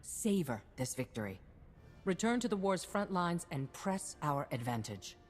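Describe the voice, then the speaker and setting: An actress playing a shrewd, stern head of state in a science-fiction video game, with a formal, cutting, authoritative manner. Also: female voice, fairly low pitch, sing-song, measured pace. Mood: approving, resolute. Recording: reverberant hall, music behind.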